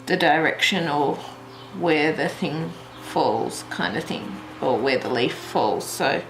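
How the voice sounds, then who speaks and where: A woman's voice: low voice, expressive, slow, music in the background. A woman hosting a craft tutorial in a casual, chatty way, thinking aloud as she goes step by step. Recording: roomy echo, light background noise.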